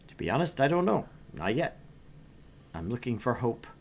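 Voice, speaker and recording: A man's voice: medium pitch, sing-song, moderate pace, a little hiss or hum. A man reading as a bemused, earnest young job seeker who narrates their thoughts in a visual novel. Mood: reflective.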